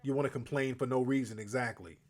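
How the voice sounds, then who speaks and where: A man's voice: medium pitch, fairly even intonation, quick pace, a little mellow. A man hosting a call-in show, talking bluntly and emphatically to callers. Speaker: host.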